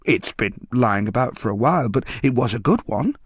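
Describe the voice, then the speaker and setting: A man's voice: mid-range voice, lively intonation, normal speed, slightly rough, no echo. Male narrator as nervy young housewife in a story, doubtful and tentative, dry close recording. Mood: hesitant. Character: hesitant, nervous young housewife, half ashamed, half eager.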